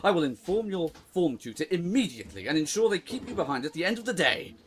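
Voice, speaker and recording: A man's voice: on the high side, big pitch swings, quick pace. A man playing a stern, fussy, easily outraged schoolteacher in an audio drama, pedantic and condescending. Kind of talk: full-cast audio drama acting.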